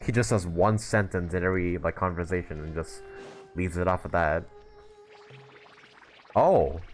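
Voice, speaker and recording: A man doing casual live gameplay commentary, thinking aloud in a halting way. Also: low-pitched, soft background music. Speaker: livestreamer playing a video game.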